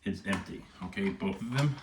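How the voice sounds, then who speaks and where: A man with a somewhat low voice chats casually to camera from his cluttered home office room. He rambles from point to point and tacks a questioning "okay?" onto many remarks. There is no separate microphone, only the camera's own audio. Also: moderate pace, very noisy. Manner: rambling, keeps adding "okay?" to check in. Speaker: firearms YouTuber. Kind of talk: casual vlog talk to camera. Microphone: no external mic, camera audio.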